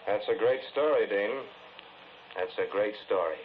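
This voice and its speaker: A thin, phone-like old recording carries a skeptical interrogator, played by an actor. The man's voice is on the low side, sardonic and probing, in the manner of 1940s radio drama. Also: measured pace, slight background noise, natural voice.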